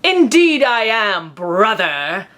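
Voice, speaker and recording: A woman voicing a smug, theatrical male cosplayer rival with a put-on British accent and hammy, drawn-out delivery. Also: medium pitch, big pitch swings, fairly bright tone. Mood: smug, boastful.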